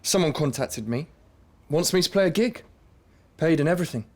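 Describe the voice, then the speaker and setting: A man's voice: fairly high pitch, lively intonation, moderate pace, a little mellow. An actor playing a young man with schizophrenia, a nervous, stammering musician, in a radio drama. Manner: stammering, hesitant restarts.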